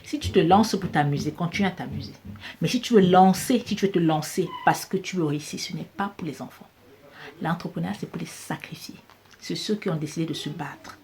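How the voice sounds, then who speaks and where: A woman with a Cameroonian French accent speaks in a very low voice, pressing her points with emphatic repetition. She gives a motivational business talk straight to camera. A faint background noise runs under her voice. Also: darkish tone. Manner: emphatic, repeats key phrases for stress. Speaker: business coach vlogger.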